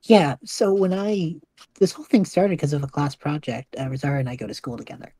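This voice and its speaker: A woman's voice: deep voice, animated, dark tone, husky, no echo. A woman playing an earnest, awkward young male magic student, speaking hesitantly in an improvised audio drama. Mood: awkward, explaining. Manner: hesitant, halting. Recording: faint music behind the voice.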